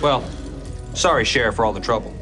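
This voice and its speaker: A man's voice: medium pitch, moderate pace, over loud music, a loud crowd, natural voice. A man playing an earnest middle-aged professor dad in a TV sitcom, firm but awkward. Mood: awkward, friendly. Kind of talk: sitcom acting.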